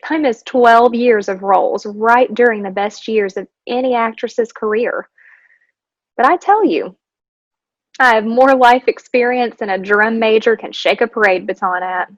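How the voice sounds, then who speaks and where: A woman's voice: a little high, no room sound, close to an everyday voice, low-quality recording. A woman playing a seasoned Hollywood actress who survived the blacklist, wry and confiding in a staged monologue. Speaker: stage actor in a play reading. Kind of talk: theatrical monologue in a staged reading.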